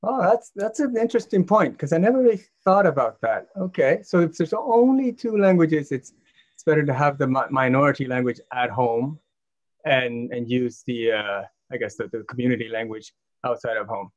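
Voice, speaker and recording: A man's voice: mid-range voice, moderate pace, lo-fi sound. A man who is a parent, moderating a live panel discussion and putting open questions to the other panelists. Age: adult parent.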